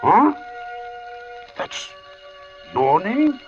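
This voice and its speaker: An actor playing a plain-spoken, superstitious tombstone carver who talks haltingly in a vintage radio drama. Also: male voice, very high voice, sing-song, exaggerated voice. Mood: puzzled, uneasy. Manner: halting, muttering, slow. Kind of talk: 1940s radio drama acting. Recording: dull old radio recording with music.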